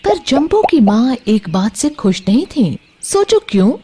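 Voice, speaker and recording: A woman's voice: a little high, sing-song, a little slow, dark tone, slightly rough. A woman narrating a scripted children's bedtime story, expressively addressing young listeners. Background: music.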